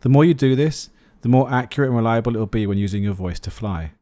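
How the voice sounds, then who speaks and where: Mellow-voiced man narrating a review. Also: mid-range voice, fast, dry recording. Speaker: flight sim video host, reviewer. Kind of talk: scripted product review narration.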